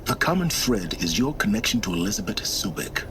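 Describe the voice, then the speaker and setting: Imperious middle-aged scholar, very low voice, measured, impatient, game drama, noisy ambience behind. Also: male voice, sing-song, fairly bright tone. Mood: grave, explaining. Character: aloof, impatient male scholar of ancient ruins. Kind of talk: dramatic video game acting.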